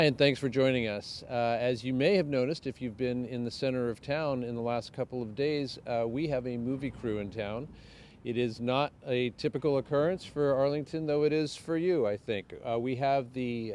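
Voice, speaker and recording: Mid-pitched man, chatty local news interviewer. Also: darkish tone. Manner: chatty, halting, courteous. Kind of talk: unscripted on-location TV interviewing. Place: outdoors outside a town hall.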